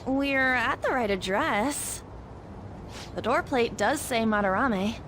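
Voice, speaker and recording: Casting: earnest teen girl in a game, high girlish voice, inquisitive, natural casual read. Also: slow, a bit bright, a little hiss or hum. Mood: hesitant. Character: earnest, youthful teenage girl, inquisitive and polite. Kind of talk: natural video game dub acting. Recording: music behind the voice.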